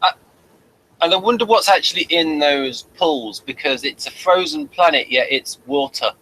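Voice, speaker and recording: A man's voice: on the high side, normal speed, sharp tone, poor audio. A man hosting a casual review chat, commenting as he goes through pictures. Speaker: host of review show. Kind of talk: casual commentary over images. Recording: faint background noise.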